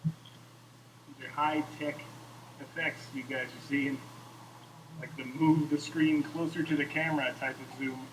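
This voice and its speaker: A man's voice: on the high side, steady tone, unhurried, long pauses, distant mic. A man co-hosting a livestream with casual, wry, joking banter and repetitive clowning, on noisy audio. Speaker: co-host of a live game preview stream.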